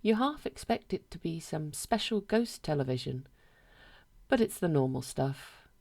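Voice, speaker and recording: A woman reading a literary short story aloud as narrator, in a measured, deadpan way. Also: low voice, gravelly.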